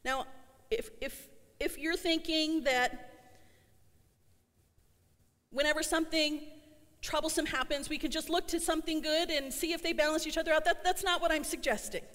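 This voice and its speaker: A middle-aged woman pastor delivering an earnest sermon, slowing down to stress her refrains, recorded in a church sanctuary. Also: high-pitched, fairly even intonation, fairly bright tone. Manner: earnest, slows for emphasis on refrains. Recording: long church hall reverb.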